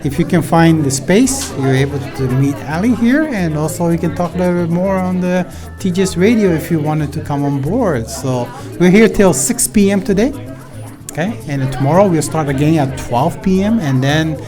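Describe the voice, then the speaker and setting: A man's voice: fairly high pitch, expressive, moderate pace. A man with a Japanese accent hosting a live radio broadcast at a pop-up event, chatting politely and casually in a bilingual way. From Los Angeles, based there. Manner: polite, switches between Japanese and English. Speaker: radio host. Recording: busy event crowd and music. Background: crowd chatter and music.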